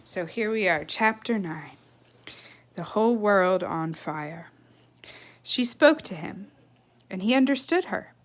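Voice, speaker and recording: A woman reading a children's novel aloud with expressive, emphatic phrasing and casual asides. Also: mid-range voice, slow pace, pauses often. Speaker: read-aloud storyteller.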